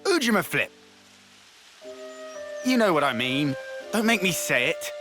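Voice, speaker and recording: A man voicing a brash, impatient young fighter in a video game, blustering casually and halting as he hunts for words. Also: a little high, sing-song, exaggerated voice. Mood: awkwardly enthusiastic. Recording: loud game music underneath.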